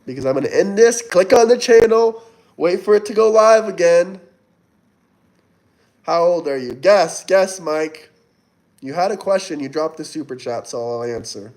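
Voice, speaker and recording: Brief: twenties male streamer, somewhat high voice, chatty, keeps urging viewers to like and tip. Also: lively intonation, moderate pace, a little mellow. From Florida, US. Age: 20s. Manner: reads out and answers viewer chat, urging likes and tips. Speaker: livestream host. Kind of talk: casual livestream chat.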